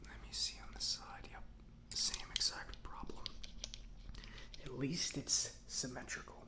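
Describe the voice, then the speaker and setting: A man playing a chatty, easygoing robot mechanic in an ASMR roleplay, speaking softly in a breathy half-whisper with a rambling, conversational manner. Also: mid-range voice, level tone, measured pace, lightly acted. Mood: quietly focused. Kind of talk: soft-spoken ASMR roleplay.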